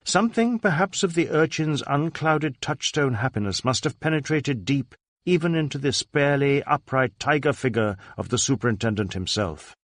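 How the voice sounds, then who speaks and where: A man's voice: medium pitch, animated, moderate pace, a bit bright, dry recording, studio quality. A man reading a literary crime story as a scripted narration. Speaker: audiobook narrator.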